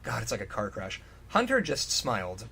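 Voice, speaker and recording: A man's voice: fairly low pitch, wildly swooping pitch, normal speed, bright, forceful tone, breathy edge. A man giving a comedic reading with casual riffing and sweary, exasperated asides. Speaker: co-host and reader.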